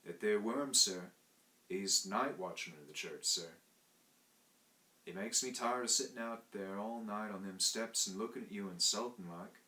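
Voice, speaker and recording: A man voicing a blunt young Cockney servant in a read-aloud story, in rough working-class speech full of "sir". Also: lowish voice, measured pace, sharp tone. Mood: grumbling. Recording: roomy echo.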